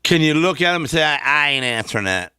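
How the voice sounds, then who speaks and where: Clear, bright, folksy American man. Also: fairly high pitch, expressive, fast, no room sound, clean studio sound. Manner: folksy, ungrammatical. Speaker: talk show sidekick.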